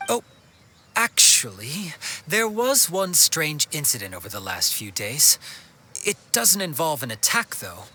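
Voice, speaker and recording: Lively, courteous townsman informant. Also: on the high side, unhurried, slight background noise, exaggerated voice. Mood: admiring, helpful. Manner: polite, expressive, conversational, flattering. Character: obliging, well-informed, courteous man of the town. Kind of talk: scripted video game dialogue. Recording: game music behind the voice. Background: background music.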